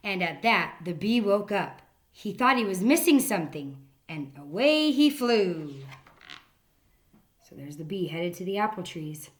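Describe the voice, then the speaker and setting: A woman reading a children's picture book aloud in a gentle storytelling manner, sometimes dropping to a whisper. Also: medium pitch, big pitch swings, unhurried. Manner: gentle storytelling, drops to whispers for the quiet parts.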